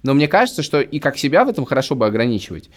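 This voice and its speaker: A man's voice: mid-range voice, very animated, racing. A man in his thirties co-hosting a podcast, chatting casually and emphatically, often repeating and rephrasing himself. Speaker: podcast co-host. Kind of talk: casual opinionated podcast chat.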